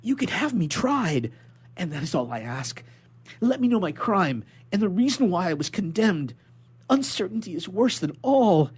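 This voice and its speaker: A man performs an impassioned young prisoner from a classic adventure novel. The voice is pushed high and loud, with sweeping pitch and a slightly husky grain. He implores with formal courtesy and desperate earnestness. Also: big pitch swings, moderate pace, heavily acted. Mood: urgent pleading. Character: desperate, pleading young sailor held prisoner in a dungeon. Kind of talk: dramatic audiobook character reading.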